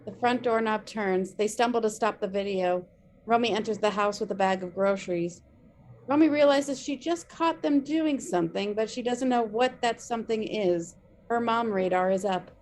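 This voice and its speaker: A woman's voice: medium pitch, normal speed, a little mellow, slight background noise. A woman reading out stage directions as scripted narration at a table read.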